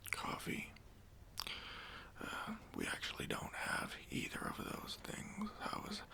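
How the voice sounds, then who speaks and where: A man playing a self-important, demanding boss at a job interview in a whispered ASMR roleplay, talking in a condescending, halting way. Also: medium pitch, gravelly, sharp tone, full character voice. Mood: awkward, dismissive. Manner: pompous, condescending, halting with drawn-out pauses.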